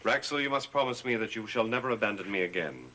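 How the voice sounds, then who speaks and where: An actor playing the commanding, tormented master of a cursed manor in a 1960s gothic soap opera, stern and theatrical. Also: male voice, fairly low pitch, lively intonation, quick pace, sharp tone, low-quality recording. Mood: troubled, resigned.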